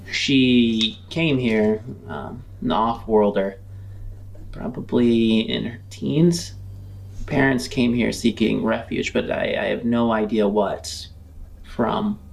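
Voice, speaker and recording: Guy doing a guarded shop owner in a tabletop game, really slow, halting and reserved. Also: mid-range voice, gravelly, darkish tone. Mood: hesitant, guarded. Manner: halting, measured, trailing off.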